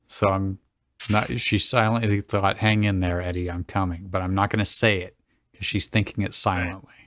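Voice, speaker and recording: A man reading out dialogue and interjecting with deadpan, nitpicking jokes. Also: deep voice, normal speed, dry recording, studio quality. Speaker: co-reader on a comedy show.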